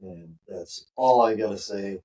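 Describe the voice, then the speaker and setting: A man's voice: on the low side, a little slow, mellow, far from the mic. A man co-hosting a podcast who states his political views bluntly and urges listeners to act. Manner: blunt, emphatic, hortatory. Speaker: podcast co-host. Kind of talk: casual political discussion.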